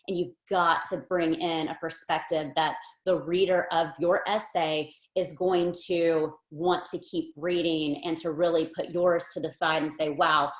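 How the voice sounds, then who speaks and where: A woman, founder and host of a nonprofit, speaking earnestly and encouragingly in a webinar discussion. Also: deep voice. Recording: roomy echo.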